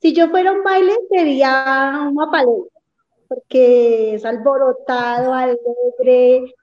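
A woman's voice: high voice, steady tone, slow, muffled recording. A woman preschool teacher introducing herself in a cheerful, spontaneous way. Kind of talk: casual self-introduction.

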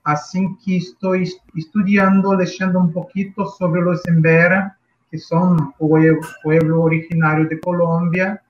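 A Brazilian man with a Portuguese accent speaks Spanish slowly and carefully, often pausing to find his words. His voice sits a little high for a man. The audio is muffled and lo-fi, like a remote call. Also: little pitch movement. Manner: slow, thoughtful, searching for words. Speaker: guest teacher. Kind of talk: reflective interview answer.

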